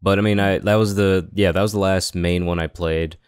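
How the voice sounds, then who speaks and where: A man's voice: sits low, fairly even intonation, a little fast, smooth, no room sound, clean studio sound. A man chatting casually as a co-host on a gaming podcast.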